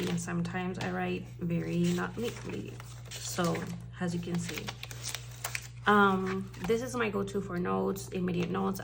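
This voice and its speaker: A woman's voice: fairly low pitch, gravelly, noisy background. A woman from Puerto Rico with a Puerto Rican accent, chatting casually and rambling a little as she talks through planners in a home vlog. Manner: chatty, rambling, self-correcting. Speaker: planner vlogger, stay-at-home mom.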